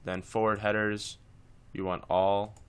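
A man's voice: fairly low pitch, little pitch movement, measured pace, clean tone. A man presenting a casual screencast tutorial, talking informally through each step as he instructs.

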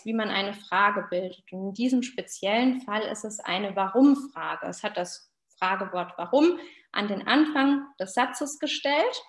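A woman's voice: fairly high pitch, a bit bright. A woman in her thirties giving an explanatory online lecture as a researcher, addressing her listeners directly. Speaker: university researcher presenting a talk. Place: small indoor room, video call. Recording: roomy, echoing webcam sound.

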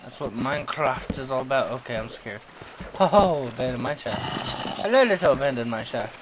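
A young man giving goofy, self-mocking commentary while playing a game, yelling at monsters and talking to himself. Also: mid-range voice, expressive, normal speed. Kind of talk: let's play commentary. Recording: noisy home recording.

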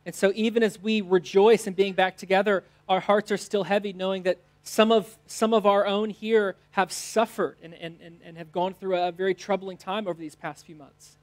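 A man's voice: high-pitched, little pitch movement, normal speed, few pauses. American guy in his 30s preaching at church, short phrases left for an interpreter. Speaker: teaching pastor. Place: church stage, indoors.

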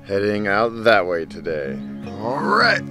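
A man's voice: fairly low pitch, wildly swooping pitch, measured pace. Man in his forties, chatty and upbeat outdoor bike vlogger, action camera mic, faint music underneath. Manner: chatty and upbeat, talks to animals, playful sing-song bits. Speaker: bikepacking vlogger. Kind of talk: casual vlog narration to camera. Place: outdoors on a mountain dirt road. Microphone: action camera on the bike and held at arm's length.

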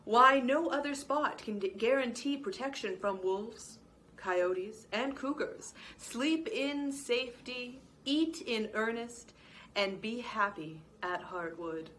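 Prim lizard desk clerk, a touch higher, formal and eager to please, woman reading. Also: slow pace, fairly bright tone, a little hiss or hum. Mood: polite eager. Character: fussy, overly polite male lizard hotel clerk.

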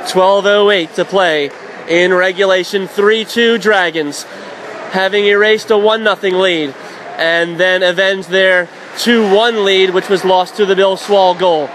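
A man with a high, bright voice calls the hockey action at a quick clip, his voice climbing into shouts on the big plays. Steady noise hangs behind him over the broadcast. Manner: rapid, shouting up on goals. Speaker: radio play-by-play announcer. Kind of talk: hockey play-by-play commentary.

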